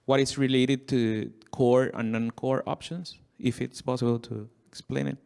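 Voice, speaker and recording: A man in the audience asking questions haltingly, searching for words. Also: medium pitch, level tone, creaky, a little mellow, clear, resonant tone. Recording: long reverb of a conference hall.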